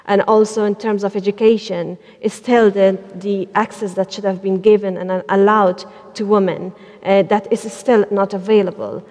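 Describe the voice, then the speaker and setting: A woman from Afghanistan speaking as a panelist, pausing often with fillers and restarting her sentences. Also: mid-range voice, steady tone, a little mellow. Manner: hesitant, many fillers and restarts. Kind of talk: panel talk. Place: large church hall. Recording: long hall reverb.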